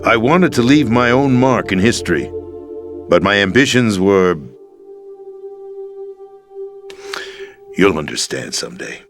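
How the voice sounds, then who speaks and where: An actor playing a disillusioned, world-weary middle-aged American president in a dramatic video game scene, with an emphatic theatrical delivery. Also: male voice, on the low side, lively intonation, moderate pace, a bit bright. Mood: resigned, bitter. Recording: game soundtrack music underneath.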